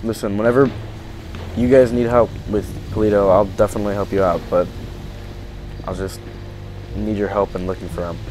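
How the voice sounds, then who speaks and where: Man playing a low-voiced, hesitant apocalypse survivor. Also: steady tone, music in the background, lightly acted, poor audio. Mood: unsure, earnest. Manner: halting, casual, hedging. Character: easygoing male zombie-outbreak survivor, earnest and unsure. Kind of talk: improvised roleplay acting in a video game skit.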